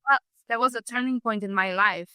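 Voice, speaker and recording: A woman with a French accent hosts a podcast interview, her voice bright and forward. She tells her own story expressively, recorded close and dry with no room sound. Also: a little high, a little fast, clear, resonant tone, dry recording. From France. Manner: expressive, shares personal story. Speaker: podcast host.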